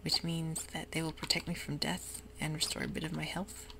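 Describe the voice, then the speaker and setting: A woman whispering softly through a relaxed, rambling ASMR game commentary. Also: deep voice, fairly quick, gravelly, a little hiss or hum. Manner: soft whisper, rambling and easygoing. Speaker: YouTuber playing a game. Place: home room with sprinklers outside.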